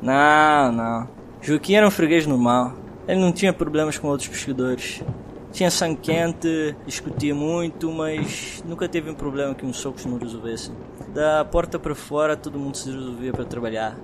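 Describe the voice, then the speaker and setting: An actor playing a nervous, deferential bar owner with a Brazilian accent, insisting respectfully in audio drama dialogue. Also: male voice, on the high side, normal speed, noisy background, close to an everyday voice. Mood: frank, respectful. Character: nervous, defensive male bar owner, plain-spoken and respectful.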